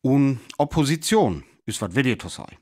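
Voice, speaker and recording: Animated North Frisian old professor. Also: male voice, medium pitch, normal speed, husky. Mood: assured, dismissive. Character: dismissive, self-assured older male astronomy professor. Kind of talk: radio play acting.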